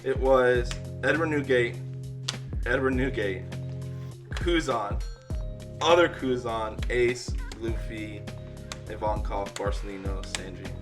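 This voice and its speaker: A man's voice: medium pitch, takes it slowly, long pauses, faint music behind. A young man who has a stutter and leans on um and uh, chatting casually while he opens trading card packs. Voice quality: has a stutter, uses um and uh as fillers. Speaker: card-opening YouTuber.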